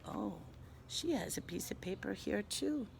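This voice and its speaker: A woman teacher reading a picture book aloud to young children, animated, pausing to explain things simply. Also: mid-range voice, gravelly, a little hiss or hum. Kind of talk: expressive picture-book read-aloud with asides.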